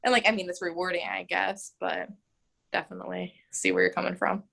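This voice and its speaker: A young woman in her twenties with a lowish voice, talking casually in an interview. She fills her answers with "like" and "um" and laughs easily, sounding open and chatty. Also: level tone, fairly quick, gravelly, a bit bright. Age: 20s. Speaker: mayoral candidate.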